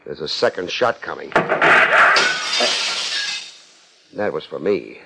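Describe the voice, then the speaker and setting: A man's voice: a bass voice, expressive, brisk, gravelly, heavy background noise, full character voice. An American man playing a hard-boiled, wisecracking private investigator in a 1950s radio drama, sardonic and unruffled. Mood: urgent, grim. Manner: sardonic, unruffled, wry first-person narration and blunt questioning. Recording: muffled 1950s radio recording with music.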